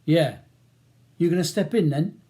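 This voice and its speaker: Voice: medium pitch, animated, unhurried, warm tone, close to an everyday voice. A reader voicing a belligerent working-class London gangster with a volatile temper, spitting threats and swearing.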